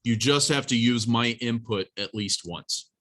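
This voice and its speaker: A man's voice: mid-range voice, a little slow, pushed and bright. A man teaching an informal college programming class, talking through a live demonstration in a plain-spoken, instructive way and addressing students directly.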